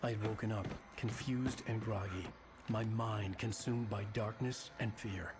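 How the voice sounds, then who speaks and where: A male actor playing a haunted, weary novelist, narrating a video game in a brooding, measured way. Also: fairly low pitch, steady tone, very noisy, natural voice. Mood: weary, grave. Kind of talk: scripted first-person game narration. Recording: loud music under the voice.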